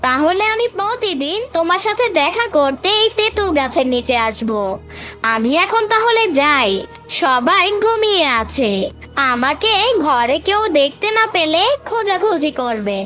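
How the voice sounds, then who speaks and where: A woman's voice: very high voice, big pitch swings, measured pace, sharp tone, exaggerated voice. A woman voicing a fearless, curious little village boy in a cartoon, bold, chirpy and inquisitive, with music behind. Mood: friendly, curious. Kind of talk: cartoon character voice acting.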